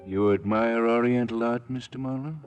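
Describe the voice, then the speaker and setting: An actor playing a deferential, guarded servant in a radio drama, formal and politely hesitant. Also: male voice, mid-range voice, fairly even intonation, moderate pace, darkish tone, clear, resonant tone, soft background music, lightly acted. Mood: formal, probing.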